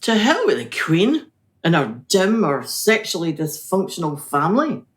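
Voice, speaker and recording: An actress playing a bitter, defiant anti-establishment activist in a radio drama, sardonic and combative. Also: female voice, lowish voice, big pitch swings, normal speed.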